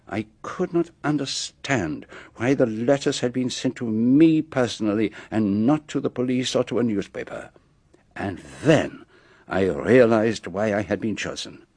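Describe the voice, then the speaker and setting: An actor playing a brilliant, self-assured foreign detective with a French accent, explaining precisely and theatrically in a radio drama. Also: male voice, medium pitch, darkish tone, no room sound. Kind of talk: BBC radio drama acting.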